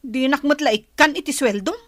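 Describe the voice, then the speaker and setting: A woman's voice: sits high, normal speed, no echo, close to an everyday voice. A woman with an Ilocano accent playing a defiant, selfish young wife who whines and argues in a radio drama. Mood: demanding, annoyed. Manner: whiny, argumentative.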